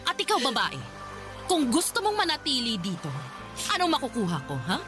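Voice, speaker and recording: Imperious rich matriarch, very high voice, wildly swooping delivery, scornful, melodramatic radio drama. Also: female voice, very animated, fairly quick. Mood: stern, contemptuous. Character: haughty, domineering rich mother scorning her son's love. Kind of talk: Filipino radio drama acting. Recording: music bed under dialogue.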